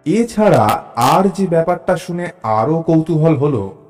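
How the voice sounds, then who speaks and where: A man narrating a scripted audio story in a measured, expressive storytelling manner. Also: a little high, faint music behind. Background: musical background.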